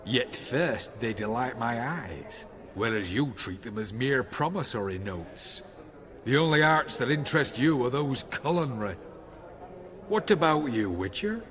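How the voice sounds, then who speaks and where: A man's voice: medium pitch, lively intonation, a little slow, a bit bright. A man playing a snobbish, waspish art collector in a video game, supercilious and sardonic, in an echoing hall. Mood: disdainful. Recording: echoing hall with crowd murmur.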